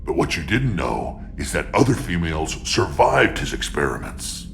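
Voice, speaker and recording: A man voicing a proud, battle-hardened alien clan chief, gruff, blunt and gravelly, in a video game. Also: extremely low pitch, very animated, normal speed, music in the background, exaggerated voice. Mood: accusing. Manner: blunt, combative, growling. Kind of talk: cinematic video game acting.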